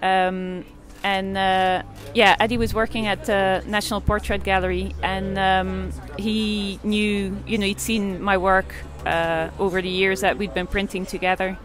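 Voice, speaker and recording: A woman's voice: medium pitch, little pitch movement, a little slow, few pauses, soft background music. A woman in her forties from Belgium, an artist and photographer answering interview questions in a hesitant way, with many fillers and restarts.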